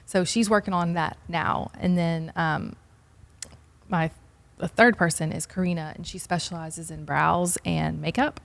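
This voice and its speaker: A woman's voice: lowish voice, fairly even intonation, unhurried, vocal fry. A woman, a salon owner chatting casually as a podcast guest, full of "like" and "um". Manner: chatty, fills with "like" and "um". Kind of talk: casual interview chat.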